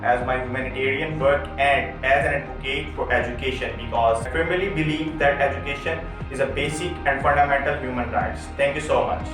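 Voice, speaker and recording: A man's voice: mid-range voice, fairly even intonation, fairly quick, few pauses. A man, earnestly and politely introducing himself as an award recipient. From Pakistan. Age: 20s. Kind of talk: short self-introduction. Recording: very echoey distant mic with music.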